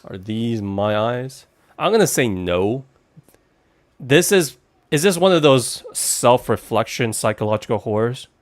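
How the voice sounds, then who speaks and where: A man's voice: mid-range voice, animated, measured pace, dry recording. A man streaming a game with casual commentary, musing aloud and chatting with his viewers. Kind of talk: casual let's play commentary.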